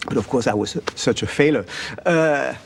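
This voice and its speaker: Gravelly guy, uh-s a lot, jokes about himself. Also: mid-range voice, moderate pace. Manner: self-deprecating, filler-heavy. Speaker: cinematographer. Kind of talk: interview recollection.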